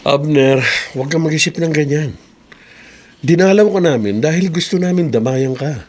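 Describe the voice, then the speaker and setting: An actor playing a kindly, generous middle-aged boss, consoling and calm with quiet authority in a radio drama. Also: male voice, on the high side, moderate pace, natural voice. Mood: reassuring. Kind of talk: Tagalog radio drama acting. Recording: radio drama with audible background noise.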